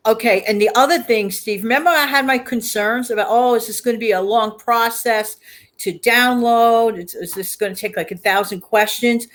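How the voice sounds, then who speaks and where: A woman's voice: on the high side, normal speed. A woman hosting a livestream in casual chat, repeating words for emphasis. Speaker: host of a livestream.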